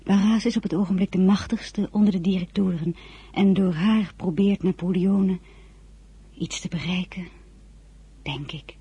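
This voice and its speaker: A woman playing an earnest, lovesick French teenage girl in a radio drama, with a husky, breathy voice. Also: mid-range voice, fairly even intonation, moderate pace, dark tone. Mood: wistful. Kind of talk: radio drama acting with diary narration.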